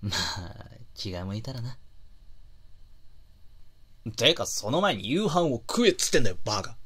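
A man playing a gruff but awkwardly caring young boyfriend in an intimate voice drama, with blunt, teasing phrasing and soft breathy asides. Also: mid-range voice, very animated, normal speed. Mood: grumbling, exasperated. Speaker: online voice actor. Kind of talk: intimate Japanese situation voice drama, boyfriend role-play.

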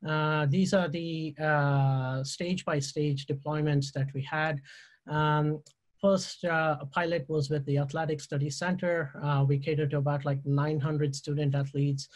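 A man giving a slide presentation over a video call, talking in a halting way with frequent "uh" fillers and restarts. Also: on the high side, fairly even intonation. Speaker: university tech presenter.

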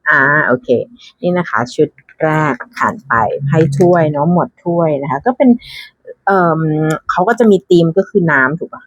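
A woman's voice: low voice, moderate pace, slightly rough, no room sound, lo-fi sound. A woman giving casual, chatty unboxing commentary, thinking aloud with frequent exclamations and dropping English card names into her talk. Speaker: tarot deck reviewer.

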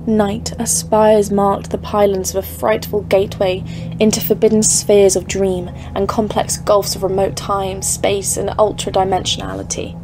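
A woman's voice: medium pitch, close to an everyday voice. A woman acting in an audio drama as a haunted expedition leader of thirty-four, grave and literary, foreboding in tone. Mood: awed, dread. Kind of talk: scripted audio-drama narration and dialogue. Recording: clearly noisy, ambient background.

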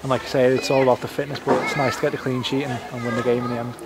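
A man's voice: medium pitch, flat delivery, rapid-fire, heavy background noise. A man interviewing a football manager for the club's media, putting short leading questions. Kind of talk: post-match interview questions.

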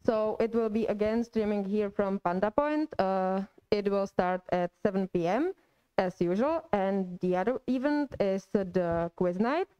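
A woman's voice: medium pitch, animated, unhurried, clear, resonant tone, highs cut off. A young woman holding a mic, hesitantly announcing upcoming events to a student audience. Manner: hesitant, with many uhs. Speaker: event organizer. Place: indoor room. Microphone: handheld mic.

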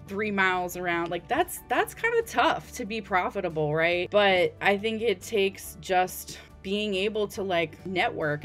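A woman in her thirties speaks in a fairly low voice over a video call from her room at home. She is a guest in an interview and talks in an earnest, chatty way, full of fillers. Faint music sits underneath. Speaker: laundromat owner guest. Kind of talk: casual interview talk. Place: home room at night, indoors.

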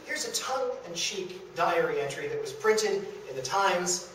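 Male history lecturer, slightly high voice, very echoey room, picked up from a distance. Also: animated, a little slow, fairly bright tone, a little hiss or hum. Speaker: lecturer presenting a history talk. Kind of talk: illustrated public lecture. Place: indoor meeting room of a historic house. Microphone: camera across the room, far from speaker.

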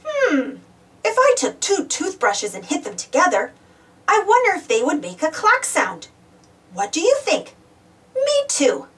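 A woman's voice: very high-pitched, wildly swooping pitch, a little slow, a bit bright, recorded from a distance. A woman reading a children's storybook aloud, exclaiming and putting questions to young listeners. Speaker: storybook reader. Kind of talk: animated children's read-aloud.

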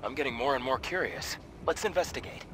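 A man playing a calm, inquisitive companion in a video game, speaking in an understated way. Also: medium pitch, fairly quick, slight background noise, rough recording. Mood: curious.

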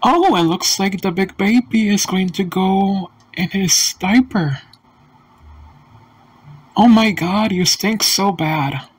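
A sassy young boy gamer talks back in a slow, stiff, mocking cartoon delivery, voiced by a synthetic text-to-speech voice. The pitch sits in the middle, and the pace is very slow and deliberate. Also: slightly rough. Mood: defiant.

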